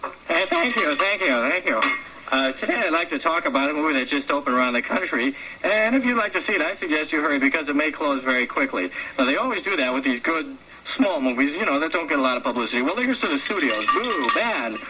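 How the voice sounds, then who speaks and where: A man's voice: a little high, animated, quick pace, sharp tone, husky, exaggerated voice. A man playing a gushing, defensive film critic in a comic radio movie review, rambling and cutting in with little exclamations. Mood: chirpy, chatty. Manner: effusive, rambling, self-interrupting with little exclamations. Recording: faintly noisy 1979 radio broadcast recording.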